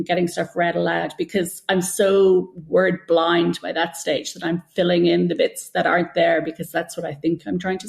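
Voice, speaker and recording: Middle-aged woman, low creaky voice. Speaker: interview guest, novelist. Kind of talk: casual interview conversation.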